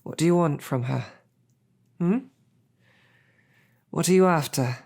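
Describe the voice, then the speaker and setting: A defiant female knight held captive in an audio roleplay, acted out by a woman. Her voice sits very low with a husky grain, and she speaks slowly. Her phrasing is courtly and loyal, cut with dry snark. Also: darkish tone, full character voice. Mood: defiant, stern. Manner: formal, chivalrous phrasing mixed with dry sarcasm. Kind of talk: scripted audio roleplay drama.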